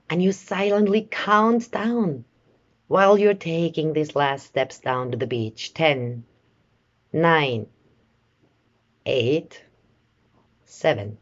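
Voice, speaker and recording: Woman, low voice, unhurried soothing delivery, meditation guide, pauses between short phrases, slightly muffled lo-fi sound. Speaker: meditation guide, life coach.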